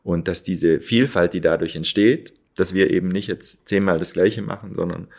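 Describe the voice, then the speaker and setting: A man's voice: deep voice, normal speed, a little mellow. A man who founded a hotel, talking reflectively as an interview guest, searching for words with many fillers.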